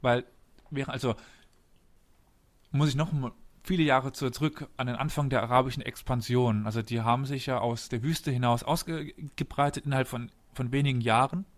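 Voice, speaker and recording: This man has a voice of middling pitch. He hosts a talk show and lays out history in a casual, step-by-step way. He often stops to quiz his listeners on what they know. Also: steady tone, normal speed. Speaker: podcast host presenting history. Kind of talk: casual explanatory chat.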